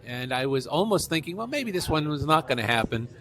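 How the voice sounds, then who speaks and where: A man's voice: fairly high pitch, sing-song, brisk, fairly bright tone. A middle-aged or older man, an author being interviewed, telling anecdotes conversationally and repeating phrases for emphasis.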